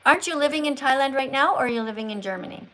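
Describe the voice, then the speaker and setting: Lady livestream host, kinda high voice, teachy and probing, chatting live w callers. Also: animated, very fast, fairly bright tone. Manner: probing, presses callers with follow-up questions; didactic, explains in short emphatic statements. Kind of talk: live call-in chat about veganism.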